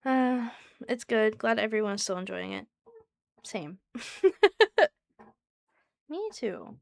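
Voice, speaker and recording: A woman streamer chatting casually with her viewers, with a soft, soothing voice and a chatty, giggly manner. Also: a little high, slow, no echo, clean studio sound. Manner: chatty and giggly, talks to chat by name.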